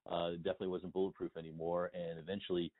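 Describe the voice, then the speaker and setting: Middle-aged male interview guest, low voice, emphatic and wordy, thin phone-line sound. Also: level tone, racing. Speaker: interview guest, industry consultant and blogger. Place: remote phone call.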